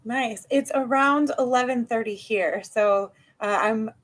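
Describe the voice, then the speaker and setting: A woman's voice: a little high, measured pace. An American woman hosting a live interview over a video call, upbeat and chatty as she greets the guest and the viewers. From United States. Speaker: podcast host.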